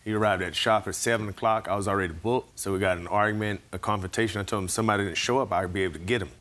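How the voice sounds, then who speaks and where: A man in his thirties telling his side in a courtroom through a lapel mic. He talks quickly in a lowish voice, stumbling and restarting, and answers politely with "yes, ma'am.". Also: fairly even intonation, a bit bright. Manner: rambling, halting, deferential with "yes, ma'am". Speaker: defendant. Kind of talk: courtroom testimony. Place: television courtroom set.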